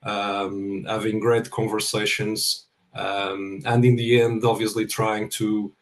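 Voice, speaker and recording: A middle-aged man from Portugal with a Portuguese accent, speaking as an interview guest in a halting way, often repeating words. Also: lowish voice, level tone. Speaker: guest speaker, project controls professional. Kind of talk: interview self-introduction.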